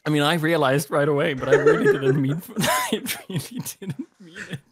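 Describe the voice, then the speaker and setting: A teasing young man bantering during a co-op game, giggling between lines. His pitch leaps all over the place. His home mic carries an obvious hiss of background noise. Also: huge pitch swings, brisk. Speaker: streamer, co-op guest. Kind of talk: casual gaming banter. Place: home streaming room. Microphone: studio mic in front of him.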